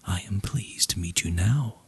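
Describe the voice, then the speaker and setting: A storyteller voicing a gracious, gentle young maiden in a bedtime fairy tale, in a breathy whisper. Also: sits low, expressive, measured pace, exaggerated voice.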